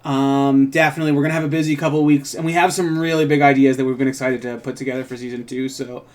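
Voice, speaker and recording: A man's voice: medium pitch, little pitch movement, very fast. A man co-hosting a show, chatting casually in a loose, rambling way. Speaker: show co-host. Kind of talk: casual co-hosted chat.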